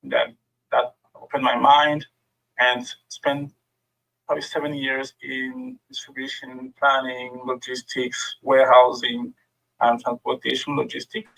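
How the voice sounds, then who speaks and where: A man's voice: mid-range voice, flat delivery, measured pace, gravelly, fairly bright tone, low-quality recording. A middle-aged man with a French accent and French as his first language, giving a friendly workshop presentation over a video call with many fillers. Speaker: guest speaker.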